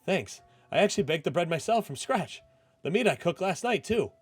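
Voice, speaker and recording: A cheerful, caring young woman from a visual novel, voiced by a man, lifted well above his usual pitch. She speaks eagerly, with gentle insistence and a shy, apologetic streak. Music plays along with the voice. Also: lively intonation, fairly quick, husky. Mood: cheerful, proud.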